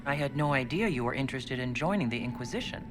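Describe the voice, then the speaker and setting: An actor voicing a wry, self-deprecating leader of a heroic order in a game cutscene. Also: male voice, medium pitch, animated, fast talker, over music, lightly acted. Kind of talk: video game cutscene acting.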